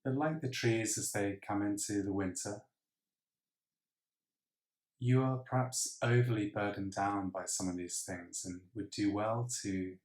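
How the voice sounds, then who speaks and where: A man leading a guided meditation in a measured, reflective way with pauses. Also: fairly low pitch, darkish tone. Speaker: meditation teacher. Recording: roomy, echoing sound.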